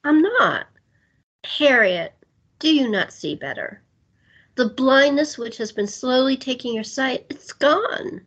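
Actress as soothing otherworldly female guide, fantasy radio play: highish, unhurried, tender, slightly lo-fi. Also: expressive, slightly rough, heavily acted. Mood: tender, reassuring. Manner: gentle, deliberate, comforting. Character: soothing otherworldly woman guiding souls onward. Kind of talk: fantasy audio drama acting. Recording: dull lo-fi sound.

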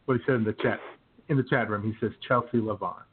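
A man's voice: lowish voice, fairly even intonation, quick pace, a little hiss or hum. A man co-hosting a podcast with casual, joking banter and mock-serious remarks. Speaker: co-host of a comedy podcast. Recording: tinny phone-like internet radio audio.

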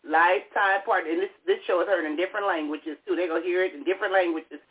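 A woman's voice: lowish voice, fast, husky. A woman in her fifties hosting a talk show, chatting casually with a teasing, laughing manner. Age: 50s. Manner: teasing, laughing, animated storytelling. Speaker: radio show host. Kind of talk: casual chat. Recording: tinny phone-line sound.

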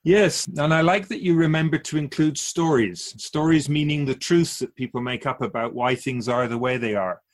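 An actor playing a wise, otherworldly male keeper of souls in a radio drama interview, gently teaching like an outsider to humankind, with a husky voice. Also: a little high, normal speed, hardly any pauses, natural voice. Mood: gracious, curious.

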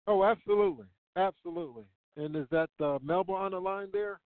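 A man with a fairly high voice hosts a casual radio interview. His voice comes through a narrow, tinny phone-like line with a dry sound. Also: brisk, dry recording. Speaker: radio show host.

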